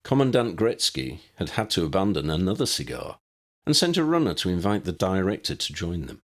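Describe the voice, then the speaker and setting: A man's voice: sits low, big pitch swings, a little fast. A man reading a scripted story aloud as an audiobook narrator.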